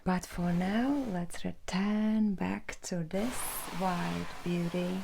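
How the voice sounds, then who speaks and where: A woman in her thirties speaking in a hushed, halting whisper as an ASMR host. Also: on the low side, fairly even intonation, gravelly, background noise. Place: indoor room at home with brick wall.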